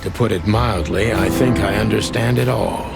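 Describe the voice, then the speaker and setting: An actor playing a weary, wisecracking rooster detective in a noir game, with a low gravelly voice and a dry, sardonic, laconic delivery. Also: male voice, normal speed, over loud music. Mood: grim. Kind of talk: film-noir game voice acting.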